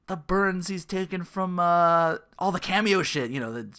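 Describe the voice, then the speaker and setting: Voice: medium pitch, expressive, moderate pace, a bit bright, clean tone. A podcast host in their thirties on a casual comedic rant, sarcastic and profane, sometimes slipping into mocking quotes. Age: 30s.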